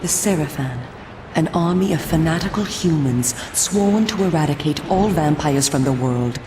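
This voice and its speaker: An actress voicing a solemn, coolly persuasive female vampire resistance agent in a video game, speaking in a formal, measured, theatrical way. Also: low-pitched, expressive, echoey room, background noise. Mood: grave, expository. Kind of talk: scripted video game cutscene acting.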